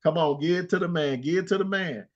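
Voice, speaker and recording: Animated older man trash-talking sports. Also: on the high side, fast, dull audio. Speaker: co-host. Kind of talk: casual sports talk.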